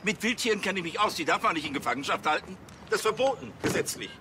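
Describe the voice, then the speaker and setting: An actor playing a pompous, flustered police chief with a fussy, stammering, indignant manner. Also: male voice, high voice, lively intonation, quick pace, noisy background.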